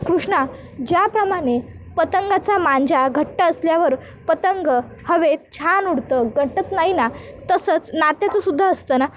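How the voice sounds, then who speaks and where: A woman's voice: extremely high pitch, measured pace. A woman playing a hesitant earnest young husband.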